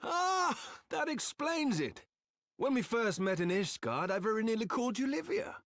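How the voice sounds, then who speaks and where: A man playing a genial, excitable airship engineer in a video game cutscene, with a friendly, courteous, expressive delivery. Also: very high-pitched, normal speed, no room sound, clean studio sound, full character voice. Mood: surprised realization.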